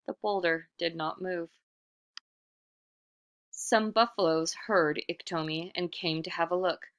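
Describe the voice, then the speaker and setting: A woman reading a storybook aloud in expressive narration, animated and putting questions to the listener. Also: fairly low pitch, unhurried, smooth. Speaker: storybook reader.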